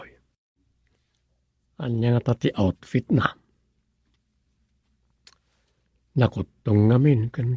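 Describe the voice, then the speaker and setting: An actor playing a pensive story writer who mutters and muses to himself in a radio comedy drama. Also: male voice, mid-range voice, dark tone, husky, dry recording. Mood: puzzled musing.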